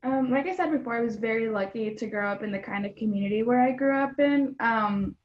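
A woman's voice: fairly high pitch, brisk. Earnest young woman, lo-fi sound. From Mexican household in Atlanta, Georgia. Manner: earnest, frequent ums and you knows. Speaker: guest. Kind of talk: casual interview answers.

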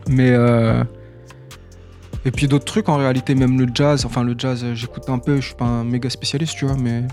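Laid-back young man, fairly even tone. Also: mid-range voice, normal speed, soft background music. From born in Qatar, lived in Tunisia, grew up in France from age six. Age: 20s. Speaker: rap artist being interviewed. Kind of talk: casual chat, slangy.

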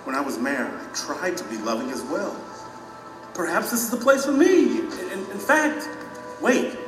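An actor playing a proud former mayor in an audio drama, bewildered, hesitant and earnestly questioning himself. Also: male voice, sits high, animated, normal speed, recorded from a distance. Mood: astonished. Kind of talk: allegorical audio drama acting. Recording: echoey hall, music behind, off mic.

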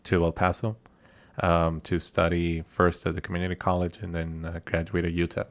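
A man in his thirties, originally from Mexico, co-hosting a casual podcast and speaking in a measured, hesitant way. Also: sits low, monotone, creaky, slight background noise. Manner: measured, hesitant, lots of fillers. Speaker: podcast co-host. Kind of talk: casual chat.

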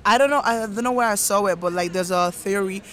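A woman's voice: medium pitch, quick pace, a bit bright. Hesitant young woman podcast host chatting casually outdoors. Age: 20s. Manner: hesitant, lots of fillers and restarts. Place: outdoors in a park.

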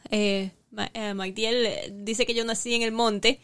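Female co-host, slightly high voice, explains through stories. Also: level tone, measured pace, fairly bright tone, smooth. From Cuba. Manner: storytelling, explanatory. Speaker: podcast co-host.

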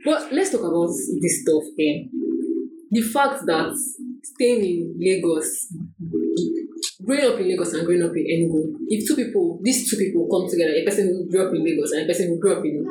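Young Nigerian woman, podcast guest, animated and laughing; echoey room. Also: lowish voice, a little fast, a little hiss or hum. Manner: animated, laughs often, many "do you get?" tags. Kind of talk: casual chat. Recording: roomy echo.

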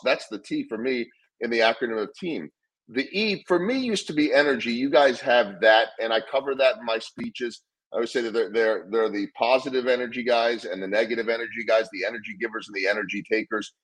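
A man's voice: medium pitch, slightly rough, poor audio. A middle-aged man with an earnest manner, telling stories and explaining ideas as a guest in a conversational interview, often repeating words as he restarts. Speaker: guest speaker on leadership.

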